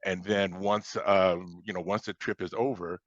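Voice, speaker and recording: An American man from Chicago speaking as an interviewed author, explaining things conversationally in a halting way with repeated starts. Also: deep voice, steady tone. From Chicago, United States. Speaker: science fiction author being interviewed. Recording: dull, roomy lo-fi sound.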